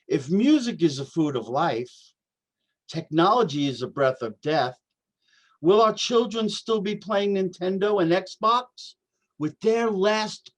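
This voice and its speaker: Male actor as paranoid homeless young prophet: high-pitched, slow, halting delivery, dramatic stage-play intensity. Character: troubled homeless young man, paranoid doomsday poet-prophet. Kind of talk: staged play reading, reciting verse.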